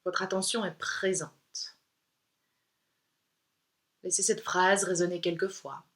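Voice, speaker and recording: A woman yoga instructor leading a guided meditation in a calm, soothing, unhurried way with pauses. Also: fairly low pitch.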